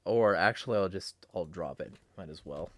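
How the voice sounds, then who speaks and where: Profane low-voiced livestream host. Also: male voice, moderate pace, no echo. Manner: profane, rambling, breaks into low, quiet, menacing voice. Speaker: livestream host reading chat. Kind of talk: casual livestream chat.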